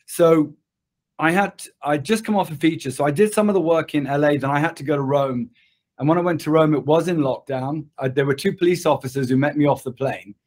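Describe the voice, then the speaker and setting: A man's voice: fairly high pitch, fairly quick, darkish tone. A British man in his sixties, raised in Zimbabwe, talking conversationally as an interview guest on a video call from an office. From born in England, grew up in Zimbabwe. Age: 60s. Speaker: interview guest, production designer. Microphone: computer mic at desk distance on a video call.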